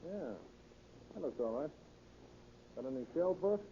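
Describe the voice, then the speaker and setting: An actor playing a brash, ruthless young escaped convict in a 1930s radio crime drama, curt and bossy with slangy talk. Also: male voice, a little high, animated, moderate pace, mellow. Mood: casual, sly. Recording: dull, noisy old 1930s radio recording.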